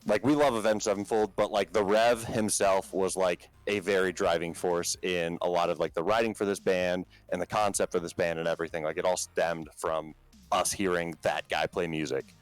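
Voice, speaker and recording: A man with a low talking voice, a band's vocalist as a podcast guest, chatting casually and rambling with many fillers and restarts. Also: steady tone, moderate pace, smooth, dry recording, faint music behind.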